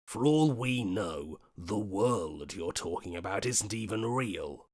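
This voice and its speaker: A man voicing a level-headed, questioning hero in a video game, speaking earnestly in short deliberate lines. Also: fairly low pitch, expressive. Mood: wary, reasoning.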